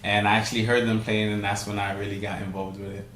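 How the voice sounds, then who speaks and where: Young man, from Trinidad, low voice, flat delivery, hesitant, echoey room, faint ambience. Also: fast, a little hiss or hum. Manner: hesitant, many fillers and restarts. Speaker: guest, steelpan arranger. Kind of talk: casual interview answers.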